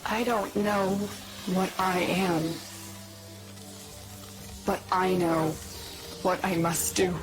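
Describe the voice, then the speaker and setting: Slow, low, resolute heroine. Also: female voice, steady tone, over music. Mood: somber, resolute. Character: resolute, troubled heroine unsure of her own nature. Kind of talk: dramatic video game acting.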